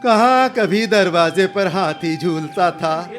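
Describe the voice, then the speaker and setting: A man's voice: high voice, lively intonation, takes it slowly, fairly bright tone, faint music behind, exaggerated voice. A man playing a wry, belittling village gossip in a Hindi radio drama, drawing out his words. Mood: wry, mocking.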